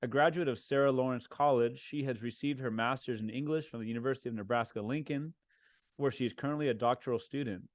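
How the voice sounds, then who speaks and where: A man in his own voice as a podcast host, speaking at an everyday middle pitch. He asks questions in a loose, chatty way, circling back and restarting his sentences. The sound is close and dry, with no room around it. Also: quick pace, dry recording. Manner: relaxed, chatty, many fillers and restarts. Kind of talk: casual interview.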